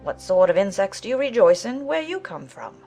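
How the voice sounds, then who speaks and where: A woman voicing a large talking gnat in a children's audiobook, brisk, careless and matter-of-fact. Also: medium pitch, very animated, a little mellow, faint music behind. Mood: offhand. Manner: brisk, offhand, buzzing between remarks. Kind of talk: dramatized children's audiobook acting.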